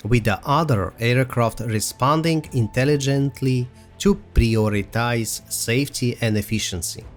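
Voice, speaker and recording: Male narrator for a software promo video: mid-pitched, halting, semi-scripted delivery over light music. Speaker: presenter of a software roadmap video.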